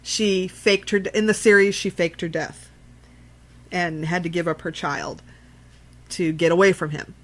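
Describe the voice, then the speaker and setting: A woman's voice: fairly low pitch, steady tone, measured pace, long pauses. Salty woman hosting a casual podcast. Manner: salty, swearing, emphatic. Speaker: horror podcast host.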